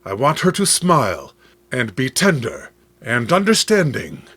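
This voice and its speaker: A man's voice: medium pitch, huge pitch swings, a little slow, fairly bright tone, breathy edge, no echo, heavily acted. A man playing an obsessive, lovestruck musician in a horror comic, melodramatic and brooding. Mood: yearning. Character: Eric, obsessive lovestruck male musician, anguished.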